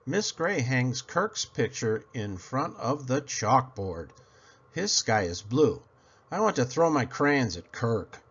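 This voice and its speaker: A man reading a children's picture book aloud as scripted narration, in an expressive storytelling manner. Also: medium pitch, takes it slowly, slightly rough, lo-fi sound.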